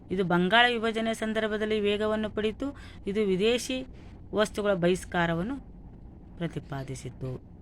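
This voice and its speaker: A woman's voice: lowish voice, a little hiss or hum. A woman with a North Karnataka accent reading out exam questions and answers like a teacher, item by item. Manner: instructional, listing answers item by item.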